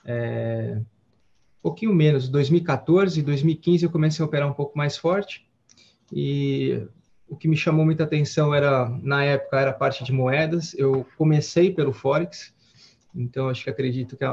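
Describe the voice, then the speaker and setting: Man, 40s, gravelly voice, easygoing rambling storyteller, dull video call sound. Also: a little high, normal speed. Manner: relaxed, rambling, many fillers and restarts. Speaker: guest trader. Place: home office with window. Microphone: headset on a video call.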